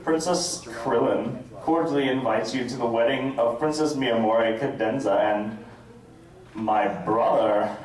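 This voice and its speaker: A man's voice: mid-range voice, measured pace, darkish tone, close to an everyday voice. Man playing puzzled cartoon servant, echoey room. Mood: mock-dramatic. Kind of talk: comic dramatic reading. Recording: echoey room from far, audible crowd.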